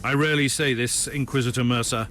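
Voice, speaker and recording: A man playing a stern, guarded psyker Inquisitor in a video game, speaking formally and gravely in terse phrases. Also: medium pitch, fairly even intonation, fast, natural voice. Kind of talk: dramatic video game dialogue. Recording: music behind the voice.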